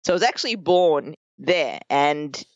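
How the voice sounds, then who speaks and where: A woman in her thirties with an Australian accent, a podcast producer chatting as a guest in a lively, bubbly way, laughing often. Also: sits low, a little slow, no room sound, lo-fi sound. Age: 30s. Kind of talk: casual interview chat.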